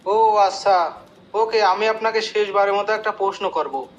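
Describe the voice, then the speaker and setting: A man's voice: high-pitched, normal speed, a little hiss or hum, natural voice, rough recording. A man playing a stern, bossy, easily exasperated job interviewer with formal questioning in a comic cartoon skit. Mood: formal, testing.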